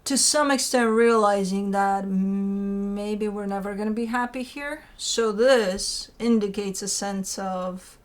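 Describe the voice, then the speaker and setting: A woman with a mid-pitched voice reads tarot cards as host. She talks conversationally and loosely, leaning on key words. She often repeats short phrases and poses rhetorical questions. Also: slow, few pauses. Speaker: tarot reader hosting her own show. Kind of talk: informal, rambling tarot card reading.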